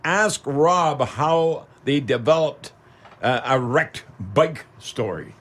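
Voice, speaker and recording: A man's voice: mid-range voice, slow, a bit bright. An older man with a Canadian accent hosting a talk radio show in a folksy, rambling, casual way, often ending with "eh".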